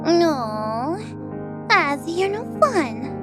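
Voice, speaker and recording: A woman's voice: high voice, big pitch swings, extremely slow, music in the background, heavily acted. A woman playing a bratty, playful young reaper assassin with a teasing, pouty delivery in an audio drama. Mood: whiny.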